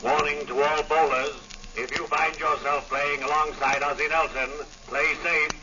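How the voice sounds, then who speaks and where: An actor playing a mock-solemn public-address announcer in an old-time radio comedy. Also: male voice, fairly high pitch, flat delivery, normal speed, noisy background, close to an everyday voice. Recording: muffled, distant old radio recording.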